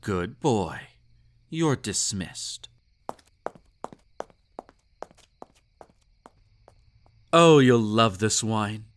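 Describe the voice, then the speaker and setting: A man's voice: on the low side, expressive, slow pace. A man playing a snobby, possessive emperor in an intimate romantic audio roleplay, indulgent and menacing, with a haughty, purring, condescending delivery. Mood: smug, indulgent.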